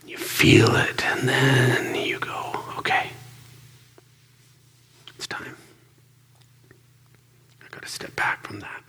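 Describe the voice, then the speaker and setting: A man guiding listeners through a calm reflection in a soft, coaching way. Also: medium pitch, takes it slowly, pauses often, whispered. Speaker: meditation guide. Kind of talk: guided reflection.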